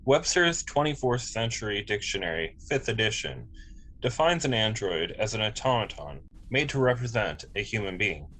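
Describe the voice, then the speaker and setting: Man playing a polite android officer, swooping pitch. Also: sing-song, moderate pace, fairly bright tone, low-quality recording. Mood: factual, precise. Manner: formal, precise wording. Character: polite, literal male android officer, precise and unemotional. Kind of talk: amateur table read of a sci-fi script.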